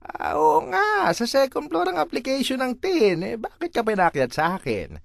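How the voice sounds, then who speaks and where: A dismissive lady government officer in a comic monologue, acted out by a man. The pitch is raised and swoops widely, with a condescending, unhelpful drawl. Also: huge pitch swings, moderate pace, gravelly, no echo, clean studio sound, full character voice. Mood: dismissive, puzzled.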